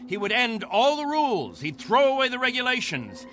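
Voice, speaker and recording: An actor playing a stern, fervent captain of a space colony in a radio drama, with an oratorical, authoritative delivery. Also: male voice, very high voice, wildly swooping pitch, normal speed, bright, forceful tone, soft background music, exaggerated voice. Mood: scornful oratory.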